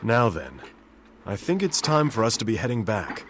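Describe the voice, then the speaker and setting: An actor voicing a calm, formal young man in a game cutscene, measured and composed. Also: fairly low pitch, a little hiss or hum. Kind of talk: video game cutscene dubbing.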